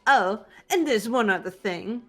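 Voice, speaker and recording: A woman's voice: fairly high pitch, lively intonation, a little slow, faint music behind. A woman playing a theatrical young female villain who gloats over despair in a fan-voiced game. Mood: mock-sweet gloating. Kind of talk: fan dub of a visual novel game, dramatic acting.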